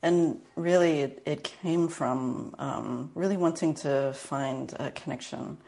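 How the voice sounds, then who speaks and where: Female guest, American accent, low gravelly register, thoughtful conversational storytelling with frequent pauses. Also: dark tone. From Northern Virginia, United States. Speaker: guest, martial arts and spiritual teacher. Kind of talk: reflective personal storytelling in conversation.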